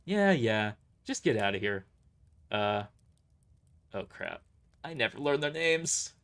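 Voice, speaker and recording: A man's voice: lowish voice, big pitch swings, slow pace, creaky, a bit bright, exaggerated voice. A man voicing a cocky, brash mercenary squad leader in an audio drama, boastful, casual and dismissive. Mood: brusque.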